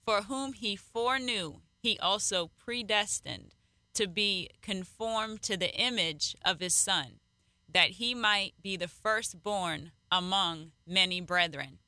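A radio co-host with a non-native English accent, reading scripture slowly and adding short affirmations. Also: medium pitch, bright, forceful tone, dry recording. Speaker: co-host pastor.

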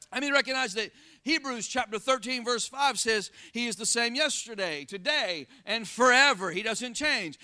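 A pastor with a Southern Florida accent preaching a sermon, folksy and calling out to the congregation for responses. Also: male voice, high voice, sharp tone, dry recording. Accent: Floridian Southern American. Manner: call-and-response, folksy, rising to emphatic exclamations.

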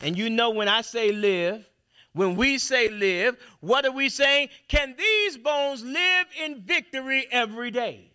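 High, bright-voiced preaching man. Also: expressive, measured pace, clear, resonant tone. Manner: preaching with dramatic swings in volume and pace. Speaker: pastor. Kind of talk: sermon. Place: church sanctuary.

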